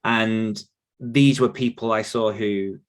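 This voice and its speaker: A man's voice: lowish voice, steady tone, slow pace. A British man in his thirties talking casually and reflectively as an interview guest, wandering as he tells his stories. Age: 30s. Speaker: podcast guest, author.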